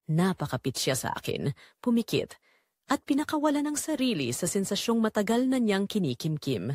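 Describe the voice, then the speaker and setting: A brooding, guilt-torn narrator played by a woman confesses in a scripted audio drama. The delivery is slow and deliberate with widely swinging intonation, in a mid-pitched voice recorded very dry and close. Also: very animated, a little mellow, dry recording. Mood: brooding, intimate. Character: Jomar, brooding, guilt-torn man confessing a forbidden romance.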